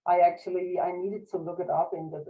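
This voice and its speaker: A woman's voice: fairly low pitch, fairly even intonation, fairly quick, phone-quality sound. A woman from Denmark with a Danish accent giving a modest, careful online lecture with frequent restarts as a university researcher. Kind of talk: online presentation. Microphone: video call.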